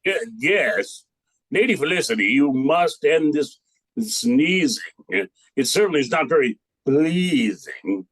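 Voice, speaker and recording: Man playing a slow, pompous, flustered king. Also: a little high, very animated, slightly rough, heavily acted. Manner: hesitant, pompous, blustering. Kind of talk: comic radio play acting.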